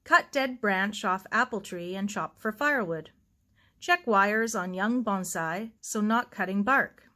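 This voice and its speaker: A woman voicing a calm, devout elderly Japanese gardener who speaks slowly in broken English. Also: medium pitch. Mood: calm, instructive. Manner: slow, broken English with dropped articles.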